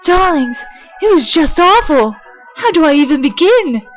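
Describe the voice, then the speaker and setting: A woman's voice: high voice, very animated, measured pace, soft background music. A woman voicing a fussy, theatrical robot messenger with a melodramatic, campy manner in an amateur comic dub. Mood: dramatic dismay.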